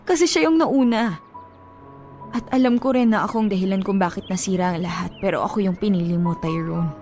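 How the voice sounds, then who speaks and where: A woman's voice: mid-range voice, brisk, natural voice. An actress playing an earnest, devoted but insecure girlfriend in a Filipino radio drama. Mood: hurt, pleading. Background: soft background music.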